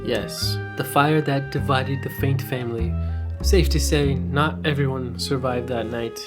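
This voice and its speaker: A man with a mid-pitched voice co-narrates a fantasy tale as if chatting with a friend. His delivery is dry and bantering, and guitar music plays clearly underneath. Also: fairly even intonation, a little slow. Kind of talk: conversational scripted story narration. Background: plucked guitar music.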